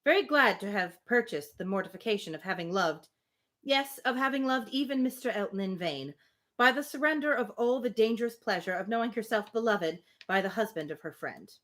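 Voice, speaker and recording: A woman reads a novel aloud for a live stream. Her mid-pitched voice moves in lively swings, and she keeps an informal, expressive manner between the text and her own asides. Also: a little fast. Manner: expressive, informal, breaks off to react. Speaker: reader hosting a livestream reading.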